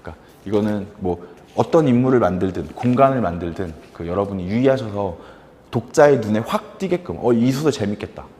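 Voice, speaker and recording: A man's voice: fairly low pitch, animated, fairly quick, mellow, in a large hall, slight background noise. A man teaching fiction writing in a casual lecture, full of fillers and acted-out example lines.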